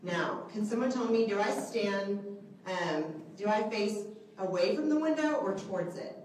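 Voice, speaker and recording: A woman with a soft voice leads a live group workshop, chatty and often checking in with the audience with "okay?" and "right?". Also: mid-range voice, lively intonation, normal speed, slight background noise. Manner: chatty, asks the group questions, many okays and rights. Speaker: trainer teaching a selfie workshop. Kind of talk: live instructional talk to a group. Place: indoor room with a large window, small audience present. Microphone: handheld microphone. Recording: echoey room, voice from far off.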